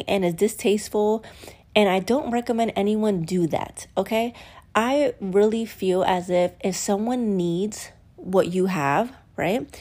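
A woman's voice: medium pitch, mellow. Chatty congested woman podcaster. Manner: chatty, candid, acts out quoted voices. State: congested, sniffly. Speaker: podcast host. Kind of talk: casual solo podcast talk.

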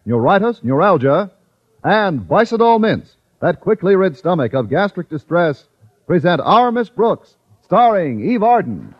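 A man announcing and pitching for a sponsor in 1950s radio style, emphatic and carefully enunciated. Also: big pitch swings, a little slow, slight background noise. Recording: muffled old-time radio recording.

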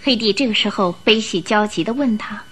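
A woman narrating a scripted Chinese radio drama in an expressive storytelling manner. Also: medium pitch, a little fast, husky, faint music behind.

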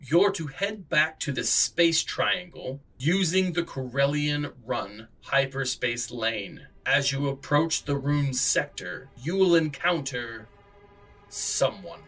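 A man's voice: medium pitch, animated, slow pace, a bit bright. A man playing an exasperated, sarcastic sci-fi city policeman in a comedic radio drama, grumbling and impatient. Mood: cryptic, official.

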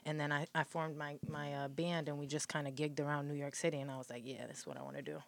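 Low-voiced chatty woman in her twenties. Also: little pitch movement, quick pace. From Hartford, Connecticut, US. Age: 20s. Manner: chatty, many "like" and "um" fillers. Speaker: guest singer. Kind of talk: casual podcast interview.

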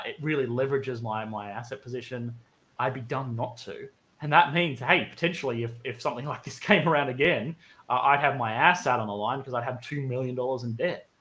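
A man's voice: mid-range voice, sharp tone. A man in a casual economics discussion, conversational and given to voicing imagined speakers. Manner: conversational, acts out hypothetical speakers.

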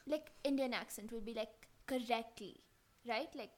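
High-voiced giggly young woman podcaster. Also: normal speed, darkish tone. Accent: mixed, Kenyan-influenced. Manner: giggly, chatty, fillers like "like". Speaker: podcast co-host. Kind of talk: casual chat.

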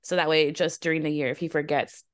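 A young woman with a raspy voice co-hosting a casual podcast chat, rambling and relaxed. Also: low voice, fast, no echo, studio quality. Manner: relaxed, rambling, laughs often. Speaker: podcast co-host.

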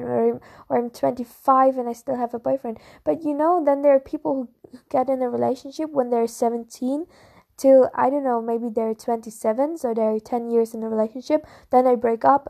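High, mellow-voiced woman podcast host. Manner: emphatic and repetitive, peppered with "like" and "you know". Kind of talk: casual solo advice monologue.

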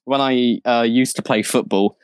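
A man's voice: mid-range voice, steady tone, moderate pace, smooth, no echo. Giggly young male podcast co-host. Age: young adult. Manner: stumbling, giggly. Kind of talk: casual chat.

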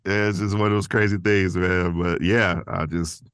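A man's voice: deep voice, steady tone, moderate pace, a bit bright, smooth, dry recording, clean studio sound. A man speaking informally as a guest voice actor in a casual interview, chuckling between phrases.

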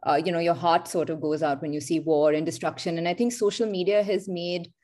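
A woman's voice: lowish voice, fairly even intonation, brisk, a little mellow. A woman hosting an interview, framing long, reflective questions and hedging with "you know" and "sort of". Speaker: interview host.

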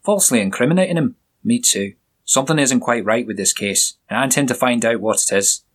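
A man voicing a big, jovial Scottish police sergeant in a one-man audio story, raised and booming above his own voice, with a husky edge. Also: a little fast, fairly bright tone, lightly acted. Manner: booming, jovial, probing questions. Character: burly Scottish police sergeant, hearty and shrewd.

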